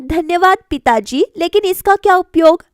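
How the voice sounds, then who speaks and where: Woman playing a polite, high-voiced young daughter-in-law. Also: expressive, moderate pace, dry recording, studio quality. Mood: explaining. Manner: polite, respectful. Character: dutiful, caring young daughter-in-law in a family cartoon.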